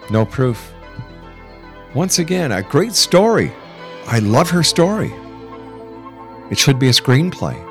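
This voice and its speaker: A middle-aged man hosting a radio talk show, speaking insistently and emphatically and repeating phrases for effect. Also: medium pitch. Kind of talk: radio interview with argumentative commentary.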